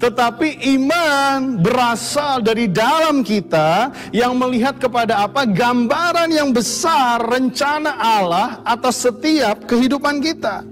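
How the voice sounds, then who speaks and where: High-voiced man preaching a sermon. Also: expressive, a little slow, a bit bright, faint music behind. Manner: preaching to the congregation, often calls them brothers and sisters. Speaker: pastor.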